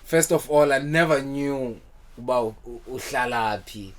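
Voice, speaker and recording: A man with a South African accent hosting a casual podcast, relaxed, often repeating words and dropping in isiXhosa phrases. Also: mid-range voice, unhurried. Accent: South African English. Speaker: podcast host.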